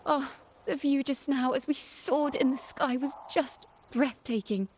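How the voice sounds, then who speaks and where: A woman playing a thoughtful, earnest young traveling companion in a video game, speaking in a lyrical, measured way. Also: sits high, steady tone, darkish tone. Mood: wistful, admiring. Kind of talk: scripted video game dialogue.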